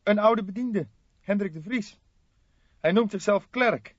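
A man's voice: sits high, a little slow, no echo, dull audio, voice pushed up. A man voicing a young male police detective, shaken and urgent, in an audiobook. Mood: troubled, reporting. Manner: breathless, urgent.

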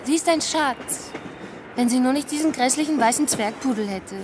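A woman's voice: sits high, normal speed, over music, close to an everyday voice. An actress playing a gossipy, teasing young city woman in a German radio play.

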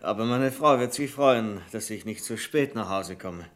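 An actor playing a mild, composed senior office employee in a German radio crime drama. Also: male voice, lowish voice, normal speed, fairly bright tone, natural voice. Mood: mild, resigned.

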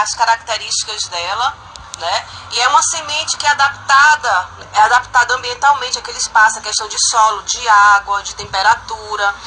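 Bright, highish woman explaining. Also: a little hiss or hum, poor audio. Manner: repetitive, frequent tag questions. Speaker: agronomist interviewee. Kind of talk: spontaneous explanation.

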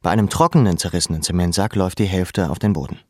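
A man reading scripted literary prose as a narrator, in a measured, deliberate, sombre manner. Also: deep voice, a little mellow, dry recording.

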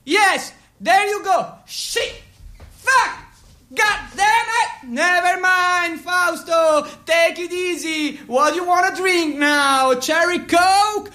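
An actor voicing boisterous teenage boys trading slangy, drawn-out banter in English for a radio play. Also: male voice, extremely high pitch, voice pushed up, exaggerated voice. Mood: frustrated, excited. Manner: shouted, drawn-out slangy exchanges.

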